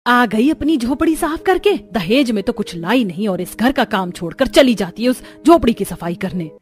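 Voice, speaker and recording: A woman's voice: a little high, expressive, moderate pace, faint music behind, natural voice. A woman voicing a bitter, greedy older mother-in-law in a cartoon, sarcastic and nagging. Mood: scornful, angry.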